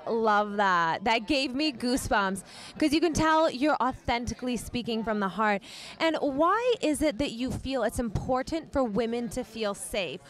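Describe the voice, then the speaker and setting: High-voiced, upbeat woman hosting interviews. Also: expressive, normal speed. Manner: upbeat, effusive. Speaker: red carpet host, interviewer. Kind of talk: chatty event interviewing. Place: red carpet event.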